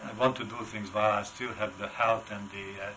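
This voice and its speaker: Older hungarian guy, heavy accent, bright kinda low voice, lots of uhs. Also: little pitch movement, a little hiss or hum, rough recording. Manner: halting, many filler sounds. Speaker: wood carver, interview guest. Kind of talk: casual interview.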